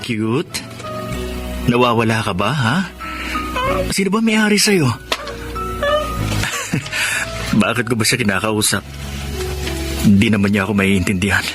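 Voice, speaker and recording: An actor with a Filipino accent playing a gentle, remorseful male ex-convict in an emotive radio drama. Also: on the low side, animated, normal speed, pauses often, lightly acted. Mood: tender, curious. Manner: earnest, emotive, talking to himself. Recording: dramatic music behind the voice.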